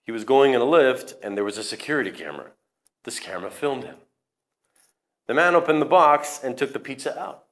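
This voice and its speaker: A man reading a short, simple news story aloud in a plain, measured way. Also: medium pitch, a bit bright. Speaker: news reader.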